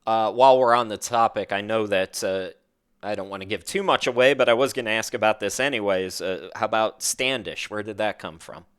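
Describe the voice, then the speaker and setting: A man's voice: on the low side, brisk, fairly bright tone, clear, resonant tone. A man hosting a casual interview, feeling his way through his questions in a slightly hesitant, conversational manner. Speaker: interview host.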